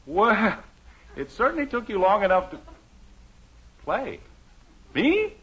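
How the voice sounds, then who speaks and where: A man's voice: high-pitched, very animated, slow, fairly bright tone, breathy edge, exaggerated voice. An American actor playing a vain, good-natured middle-aged suburban father in a theatrical, blustering 1950s radio sitcom style. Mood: puzzled. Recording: muffled, noisy old radio recording.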